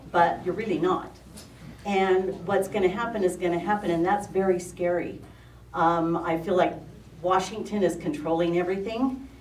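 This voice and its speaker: Older lady w a loud low-ish voice, emphatic public comment, far off in a roomy hall w faint noise. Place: county meeting room. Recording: echoey room, distant mic, faint noise.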